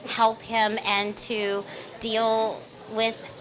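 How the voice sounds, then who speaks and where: A woman's voice: a little high, monotone, extremely slow, fairly bright tone, low-quality recording. A woman, a stage actress, giving conversational interview answers in an earnest, emphatic manner.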